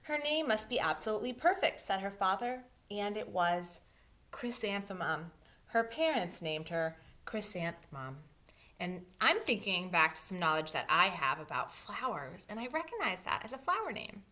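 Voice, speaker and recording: A woman with a bright, forceful voice reads a children's storybook aloud. Her pitch moves freely, and she leans on words and stretches them out. She speaks as a host who steps in with asides for young listeners. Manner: animated, emphatic, drawn-out words for young listeners. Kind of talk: expressive storybook reading with asides.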